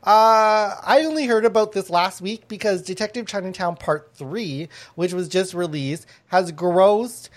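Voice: mid-range voice, unhurried, few pauses. A podcast host talking casually with a panel, full of fillers and restarts. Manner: chatty, many fillers and restarts.

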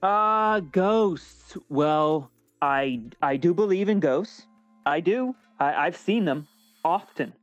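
A pompous, status-minded job applicant with a fairly high male voice. His formal, pointed phrasing slips into stammers in this comedic audio drama. The sound is dry and a little dull. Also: expressive, slow, no echo, soft background music. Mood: evasive, bluffing.

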